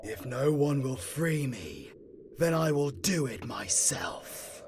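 A grim, protective demon hunter, acted by a man, with a gravelly voice that swings wildly in pitch. He declaims very slowly and gravely in a horror audio play. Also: big pitch swings, slight background noise. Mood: grim resolve. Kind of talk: horror audio drama acting.

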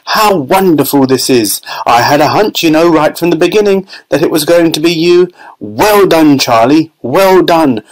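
A man voicing an excitable, whimsical candy maker who talks in giddy, exclamatory bursts. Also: on the high side, normal speed, hardly any pauses, pitched above the performer's own voice, lightly acted, rough recording. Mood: delighted.

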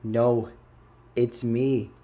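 A man's voice: medium pitch, level tone, drawn-out words, music in the background. A man voicing an earnest, defiant young lion prince in an amateur cartoon fan dub, with a plain, stern delivery.

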